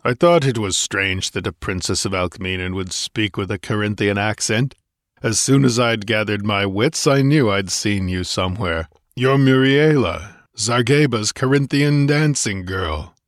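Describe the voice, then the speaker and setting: A man voicing a massive, contemptuous barbarian warrior in an audiobook, barking and rumbling with impatience. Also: animated, normal speed, no room sound, studio quality, natural voice. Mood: angry contempt. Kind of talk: dramatised audiobook character voice.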